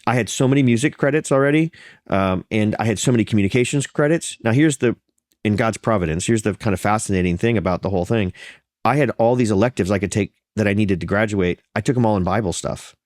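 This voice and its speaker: A pastor being interviewed, talking in an earnest, storytelling way with a slightly congested, nasal sound and frequent restarts. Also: male voice, lowish voice, fast, a little mellow, no room sound, clean studio sound. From Florida. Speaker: pastor and podcast guest. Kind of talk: conversational interview.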